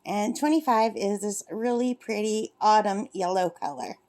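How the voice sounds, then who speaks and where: A woman's voice: medium pitch, normal speed, dark tone. A woman in her fifties chatting casually in a rambling, self-correcting way, vlogging from her lakeside cabin while she crafts. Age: 50s. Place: cabin at a lake.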